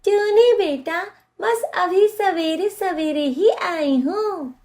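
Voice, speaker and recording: High voice, slow pace, woman playing a cartoon crow mother-in-law, motherly, practical, firmly advising. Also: a little mellow, exaggerated voice. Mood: friendly. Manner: instructive, motherly. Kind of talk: animated moral story dialogue.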